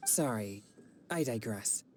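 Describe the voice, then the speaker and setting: A man's voice: medium pitch, lively intonation, slow, a little mellow, slight background noise. An actor playing a polite, earnest young male exorcist in a video game, with a formal, courteous manner. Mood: polite, inquiring.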